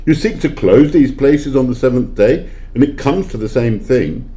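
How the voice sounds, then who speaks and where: A man's voice: mid-range voice, expressive, moderate pace, mellow, close to an everyday voice. A man playing an anxious miser who earnestly questions a spirit in an audio play. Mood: questioning.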